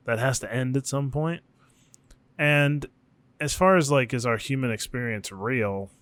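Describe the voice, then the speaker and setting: A man with a mid-pitched voice chats casually as a podcast co-host. He muses informally and swears offhandedly. The sound is dry and close, with very little room in it. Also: unhurried, fairly bright tone, smooth, no echo.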